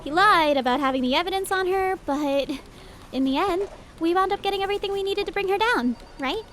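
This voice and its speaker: A woman playing an excitable, earnest young rookie policewoman in an audio drama. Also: very high voice, fairly quick, slight background noise. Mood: curious.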